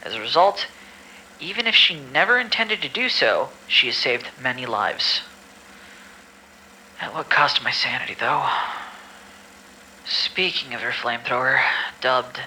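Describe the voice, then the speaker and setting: Man acting as a bright-voiced, exasperated medical staffer. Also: medium pitch, slight background noise, low-quality recording. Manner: weary grumbling asides between formal report reading. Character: exasperated male medical staffer reading patient files. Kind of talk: amateur audio drama acting.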